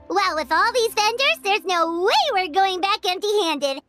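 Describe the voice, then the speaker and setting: A woman voicing a tiny, excitable childlike fairy companion in a fan dub of a video game. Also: a little slow. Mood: cheerful. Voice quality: squeaky, childlike. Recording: music behind the voice.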